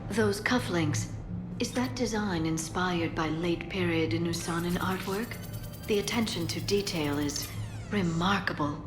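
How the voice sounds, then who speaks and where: A woman's voice: on the low side, measured pace, over music, natural voice. An actress playing a composed, sly accomplice in a video game, refined and dry in her delivery. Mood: charming. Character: poised, sly woman accomplice at a party. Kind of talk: cinematic video game dialogue.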